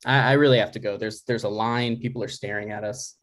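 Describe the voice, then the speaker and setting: A man playing an anxious, needy adult son in an informal comedy table read, speaking in an earnest, halting, exasperated way. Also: lowish voice, fairly even intonation, a bit bright, lightly acted. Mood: flustered. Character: anxious, needy adult son seeking his dad's approval. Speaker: writer playing himself and reading stage directions.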